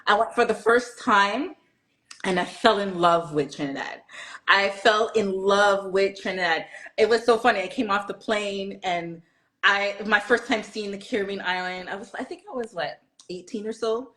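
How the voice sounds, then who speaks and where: A woman's voice: medium pitch, normal speed. A middle-aged woman from Toronto, telling stories animatedly in casual chat and acting out quotes. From Toronto, Canada. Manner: animated storyteller, acts out quotes. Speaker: guest.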